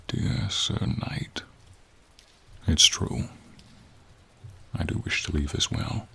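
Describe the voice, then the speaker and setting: A man voicing a gentle, encouraging silver dragon in a fantasy ASMR roleplay, with a husky, near-whispered voice and slow, tender, courtly phrasing, over rain. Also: very deep voice, exaggerated voice. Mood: somber, wistful. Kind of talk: fantasy ASMR roleplay, soft intimate acting. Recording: steady rain behind the voice.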